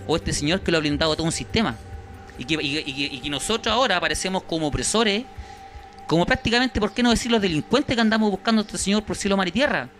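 A Chilean man with a slightly raised pitch speaks insistently into a handheld mic in a small studio. He stacks questions and repeats himself as he hunts for words. Faint music sits under the talk. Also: sharp tone. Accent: Chilean Spanish. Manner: insistent, indignant, repeats words while searching. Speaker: guest, victim's brother. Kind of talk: studio interview.

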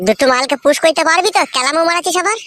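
Man doing a squeaky, fast-scolding cartoon buddy. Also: pushed and bright, no room sound. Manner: foul-mouthed, hectoring. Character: scolding, exasperated young male friend. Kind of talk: crude comedy cartoon dialogue.

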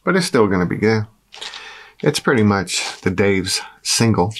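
A man in his forties talks through a meal in a dark room, his low voice raspy and gravelly from a cold. He munches and chats casually between bites. The recording is noisy, with clicks under his voice. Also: very animated, a little slow. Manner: chatty, eats and talks with mouth full. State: hoarse from a cold. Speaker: food vlogger. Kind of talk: casual mukbang chat.

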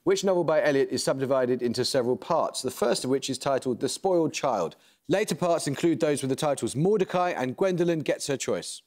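A man, hosting a quiz show in a TV studio. He introduces the teams and reads the questions in a crisp, measured, formal way, with dry asides. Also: medium pitch, animated, darkish tone. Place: large TV studio set, seated at a desk.

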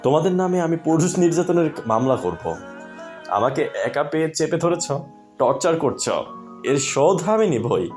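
Man acting a brash, grumbling husband, swooping in pitch. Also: sing-song, moderate pace, darkish tone, soft background music. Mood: aggrieved, vengeful. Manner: complaining, sarcastic, theatrical. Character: brash, grumbling young husband and father, indignant and dramatic. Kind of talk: audio story character acting.

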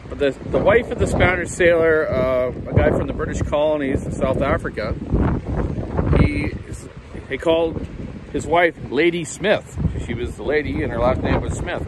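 A man in his fifties, a chainsaw shop owner, rambling in a folksy way with many fillers while he vlogs outdoors on his phone. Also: on the high side. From Canada. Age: 50s. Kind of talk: casual walking vlog. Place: windy seaside park outdoors. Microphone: phone camera at arm's length. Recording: loud wind noise on the phone mic. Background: gusting wind.

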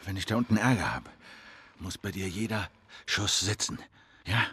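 A man voicing a gruff, guarded middle-aged survivor in a dramatic video game, terse and protective. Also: deep voice, normal speed, pushed and bright, exaggerated voice. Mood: serious, instructing.

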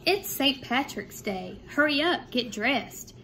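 Lady teacher doing a kids read-aloud, voice a bit high, some background noise. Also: animated, sharp tone. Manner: measured, simple phrasing for young children. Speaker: second grade teacher. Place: small room at home, indoors. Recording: clear background room noise.

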